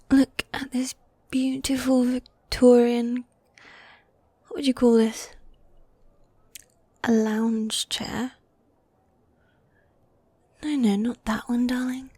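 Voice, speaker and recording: A woman playing a teasing, needy yet dominant goth demon girlfriend in a fantasy ASMR roleplay, soft and breathy, often whispering, close to the mic. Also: on the high side, flat delivery, drawn-out words, pauses often, dry recording, full character voice. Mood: coy, dreamy. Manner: teasing, coy, affectionate, with sighs. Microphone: close, dry microphone.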